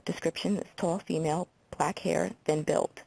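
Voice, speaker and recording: A woman playing a procedural, businesslike hotline call taker with an American accent and a husky, creaky voice, in a simulated intake call. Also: sits low, fairly even intonation, normal speed, mellow, full character voice, poor audio. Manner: procedural, reads details back methodically. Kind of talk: simulated phone intake interview for training.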